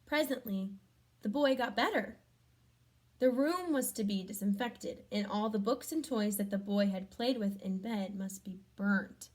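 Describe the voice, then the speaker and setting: A woman reading a storybook aloud to children, adding gentle chatty comments and questions for young listeners. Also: mid-range voice, a little slow. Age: adult.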